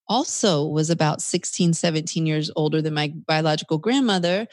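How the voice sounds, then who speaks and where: A woman's voice: low-pitched, no echo, studio quality. A woman from the United States telling her personal story reflectively as an interview guest. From United States, South Carolina.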